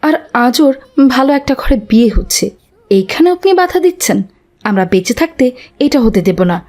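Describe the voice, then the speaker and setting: A woman's voice: fairly high pitch, very animated, brisk, darkish tone. A woman playing a stern aunt in a cartoon drama, accusing and resolute in defence of an orphan.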